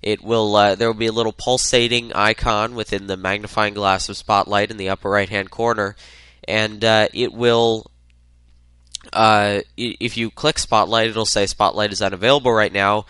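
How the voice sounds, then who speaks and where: A man's voice: fairly low pitch, level tone, moderate pace, sharp tone, clear, resonant tone, dry recording. A man with a head cold hosting a solo tech podcast, talking loosely with lots of uhs. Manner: rambling, many filler uhs. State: congested from a cold. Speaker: podcast host.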